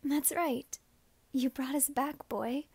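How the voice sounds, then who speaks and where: A woman's voice: high voice, fairly even intonation, slow, darkish tone, no room sound. A woman playing a mischievous, grateful young witch sister back from the grave, in an ASMR roleplay.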